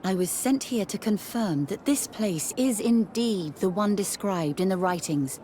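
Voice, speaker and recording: A woman's voice: medium pitch, unhurried, mellow, slight background noise, close to an everyday voice. A woman acting a formal scholarly nun, ambience behind. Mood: composed. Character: busy, formal female religious scholar in charge. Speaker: supervisor of theologians. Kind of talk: video game dialogue.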